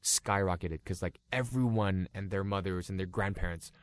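A man with a very deep, low voice tells his story in an easygoing interview, dropping "like" into nearly every sentence. He is in his thirties, from Los Angeles, with Cantonese as his first language. The recording is dry and close. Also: normal speed, no echo. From Los Angeles, California. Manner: relaxed, many "like" fillers. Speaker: guest YouTuber.

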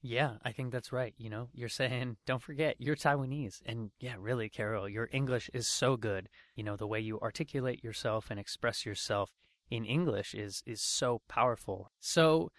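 A man's voice: medium pitch, normal speed, a bit bright, no echo, studio quality. A man interviewing a guest, affirming her answers and leading into questions with frequent fillers. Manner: affirming, with frequent "you know" and "I love that". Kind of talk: interview chat.

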